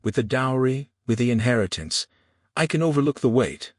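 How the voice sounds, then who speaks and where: A man's voice: medium pitch, expressive, normal speed, no room sound, clean studio sound, voice pushed up, close to an everyday voice. A man voicing a sneering, pompous, cowardly shopkeeper in an Old West audiobook, indignant and flustered. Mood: condescending, greedy.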